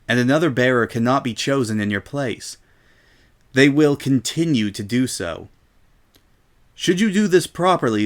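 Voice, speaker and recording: A man voicing a regal female pony princess, stern and commanding, with formal, deliberate speech in a dramatic story reading. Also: mid-range voice, natural voice.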